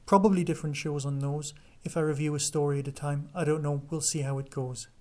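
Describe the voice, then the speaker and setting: A man's voice: fairly high pitch, steady tone, fast talker, a little mellow. A man hosting a podcast solo, chatting casually through listener news and reviews. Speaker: podcast host.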